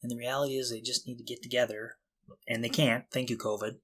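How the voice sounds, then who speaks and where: A middle-aged man talks casually as a podcast co-host. His voice sits at an average male pitch, and he comes across as reflective and sincere, speaking in a conversational way. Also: flat delivery, quick pace, a bit bright.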